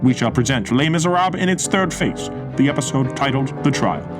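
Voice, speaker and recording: A man's voice: medium pitch, expressive, fairly quick. A male announcer reading a scripted, polished closing announcement for a radio theatre broadcast. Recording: music playing behind.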